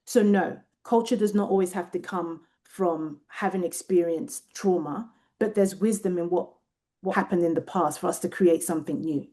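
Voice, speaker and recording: A woman with a British accent and a somewhat low, mellow voice. She talks through ideas in a considered way and circles back to rephrase. Her delivery comes across as reflective and unhurried in thought. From Nigeria and Britain. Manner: thoughtful, searching for words, many restarts. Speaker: guest researcher. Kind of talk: reflective interview conversation.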